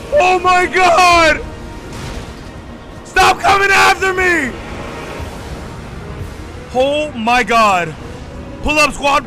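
A young American man giving casual, excitable let's-play commentary, slangy and repeating his phrases. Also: extremely high pitch. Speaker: gaming YouTuber. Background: game music.

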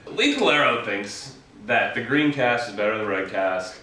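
A man's voice: fairly low pitch, expressive, moderate pace. An American man in his thirties conducts a casual, teasing interview. He sounds set back from the mic in a very reverberant room, with a little background noise. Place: indoor theatre set. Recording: echoey room, distant mic, faint noise.

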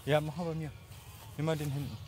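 High-voiced, fast-talking man outdoors. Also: little pitch movement, a little mellow. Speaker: camping companion. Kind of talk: casual chat. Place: outdoors at a riverside campsite.

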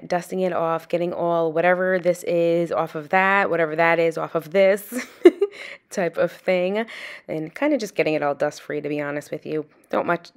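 Chatty low-voiced woman cleaning a bedroom. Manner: chatty, rambling, asks viewers questions. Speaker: cleaning vlogger. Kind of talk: casual chat to camera while cleaning. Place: small home bedroom, indoors. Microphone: camera on a stand across the room.

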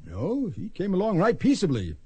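A man's voice: high-pitched, wildly swooping pitch, moderate pace, warm tone, slightly rough, no echo, heavily acted. An actor playing a folksy, matter-of-fact town marshal in a radio western, rambling as he talks. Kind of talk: radio western drama acting.

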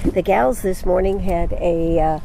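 An older woman hosting a live radio interview outdoors at a golf course, chatty and unhurried, with plenty of fillers, into a handheld mic. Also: lowish voice, gravelly, dark tone, slight background noise, rough recording.

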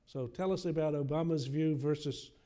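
A man's voice: fairly high pitch, normal speed, mellow. A man in his seventies, moderating a public forum as he reads aloud and poses questions. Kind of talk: reading a quotation and posing a question at a forum. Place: auditorium stage at a podium. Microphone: podium microphone.

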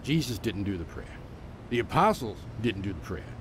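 An actor playing a gentle, earnest evangelist mentor in an audio drama, plainspoken and patient, with measured, fatherly delivery. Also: on the low side, very animated, noisy background. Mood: earnest teaching.

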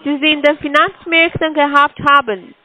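High-voiced woman cold-calling. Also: steady tone, pushed and bright, low-quality recording. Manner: halting, scripted pitch. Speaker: scam caller. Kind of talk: phone sales pitch.

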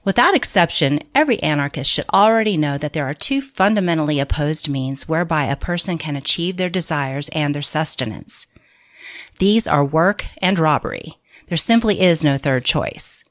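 A woman reading scripted audiobook narration of a political manual in a measured, deliberate, clearly phrased way. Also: low voice, animated.

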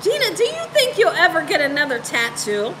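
A woman's voice: very high voice, wildly swooping pitch, brisk, a bit bright, a little hiss or hum. A woman around her thirties or forties chatting casually and playfully with live viewers as host, repeating phrases for emphasis. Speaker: cooking show host on a livestream. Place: home room, indoors.

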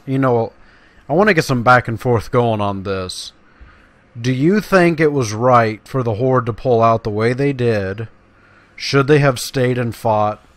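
A man's voice: mid-range voice, no room sound. A man talking casually and informally to viewers as the host of a let's-play gaming video. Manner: informal, addressing viewers directly.

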